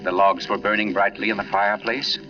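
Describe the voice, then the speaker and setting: An actor playing a grave novelist who narrates a suspense tale in a measured, dramatic 1940s radio style, on a vintage recording. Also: male voice, lowish voice, steady tone, recorded from a distance, lo-fi sound, lightly acted. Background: dramatic score music.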